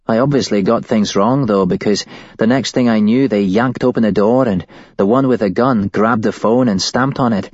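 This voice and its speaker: A man's voice: lowish voice, moderate pace, a little mellow, husky, dry recording, dull audio, pitched above the performer's own voice. A man voicing a shaken young woman who was held hostage, recounting it shakily and at a tumble. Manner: shaky, tumbling recollection.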